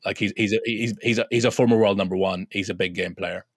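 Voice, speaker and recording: A man's voice: on the low side, steady tone, fast, breathy edge, no room sound, studio quality. A man co-hosting a casual sports roundtable, talking emphatically and bluntly with some casual swearing. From Europe. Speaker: podcast co-host.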